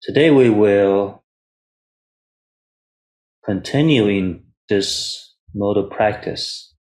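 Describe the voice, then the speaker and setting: A man guiding meditation as a teacher, speaking in short, measured phrases with long pauses between them. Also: fairly low pitch, darkish tone, rough recording. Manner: refers to himself in third person as teacher. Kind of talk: guided meditation instruction.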